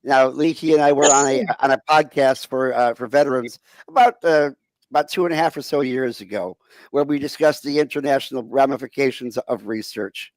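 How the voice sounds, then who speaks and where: A man's voice: mid-range voice, brisk, dry recording, rough recording. A man hosting an online talk show over a video call, introducing guests and asking questions in a chatty, upbeat way. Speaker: show host.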